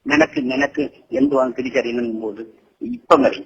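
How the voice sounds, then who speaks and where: A man's voice: mid-range voice, very animated, fast talker, gravelly, slight background noise. A middle-aged man arguing on a phone call, insistent and pleading, repeating himself over and over. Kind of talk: heated private phone argument. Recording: dull phone-call recording.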